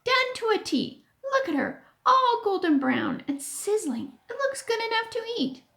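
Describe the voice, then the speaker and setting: A woman voicing a mischievous, excitable boy in a storybook, urging and chanting to egg on a friend. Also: extremely high pitch, measured pace, creaky, voice pushed up, exaggerated voice. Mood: proud.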